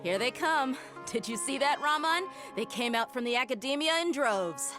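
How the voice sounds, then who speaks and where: An actress playing a bold, confident desert mercenary leader in a video game, casual and assertive. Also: female voice, high voice, lively intonation, normal speed, over music. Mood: confident, eager. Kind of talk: scripted game dialogue.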